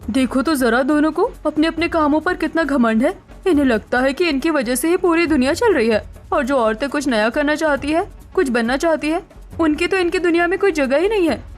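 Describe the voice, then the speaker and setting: An ambitious young daughter-in-law in a cartoon drama voiced by a woman. She is pointed and outspoken in a high voice over light music. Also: fairly quick, lightly acted. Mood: taunting.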